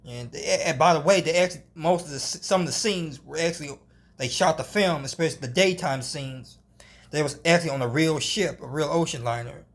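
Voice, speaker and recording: A man giving an unscripted film review, halting, often restarting and hedging as he goes. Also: on the high side.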